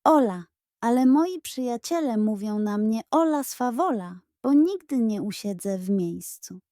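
A woman voicing a cheeky, excitable little girl in a children's audio story, speaking in colloquial exclamations. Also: on the high side, a little slow, no room sound, studio quality. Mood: chatty, determined.

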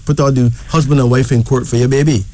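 Mellow-voiced Barbadian man reading cards. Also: mid-range voice, steady tone, fast. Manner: chatty, reassuring, tag questions. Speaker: card reader. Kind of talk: casual card reading chat.

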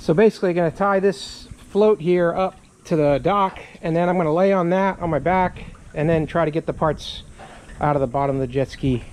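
A man's voice: a little high, brisk, darkish tone. A man casually narrating a do-it-yourself jet ski repair, counting aloud as he works, recorded outdoors on a lake dock with an action camera. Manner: matter-of-fact, counting aloud while working. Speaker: DIY video host. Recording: noisy outdoor action-cam audio. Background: water and wind.

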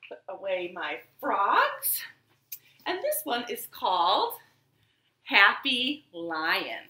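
A bright-voiced woman presents storytime for small children. Her delivery is animated and sing-song, slightly high and swinging widely in pitch. It is recorded in a roomy living room on a webcam. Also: drawn-out words. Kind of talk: children's storytime, rhymes and read-aloud story. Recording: roomy home webcam sound.

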